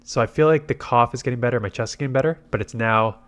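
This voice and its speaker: Man, congested from a cold, medium pitch, casual gaming commentary, thinking tactics out loud. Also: fast. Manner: thinks aloud through tactics. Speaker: game commentator and video host.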